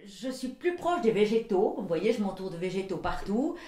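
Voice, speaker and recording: A woman's voice: medium pitch, sing-song, normal speed. Reflective woman in her sixties, echoey room. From Belgium. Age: 60s. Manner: thoughtful, searching for words, often trailing off softly. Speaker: visual artist and printmaker. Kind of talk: reflective documentary portrait interview.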